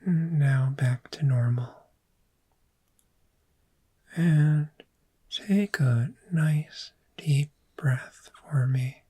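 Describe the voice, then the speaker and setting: Casting: woman to play a calm dragon therapist for ASMR roleplay; mellow, whispery, extremely slow and measured delivery, reassuring. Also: deep voice, exaggerated voice. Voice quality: breathy, whispery. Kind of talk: soft-spoken ASMR roleplay.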